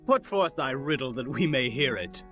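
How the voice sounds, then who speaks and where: Actor as sharp, pushy Philistine townsman. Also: mid-range voice, expressive, normal speed, faint music behind, natural voice. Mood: challenging. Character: pushy, menacing Philistine townsman of ancient times. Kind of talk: dramatized scripture acting.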